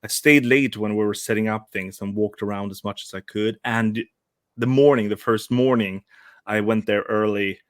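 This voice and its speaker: Man in his forties, low dry voice. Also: fairly even intonation, moderate pace, no echo. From Sweden. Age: 40s. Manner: hesitant, many restarts. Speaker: guest, toy customizer. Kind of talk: casual live-stream chat.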